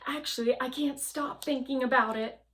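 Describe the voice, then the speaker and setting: A woman's voice: high voice, steady tone, normal speed, fairly bright tone, voice pushed up. A woman voicing a small, eager, anxious drone who is a loyal friend in a children's storybook. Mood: worried.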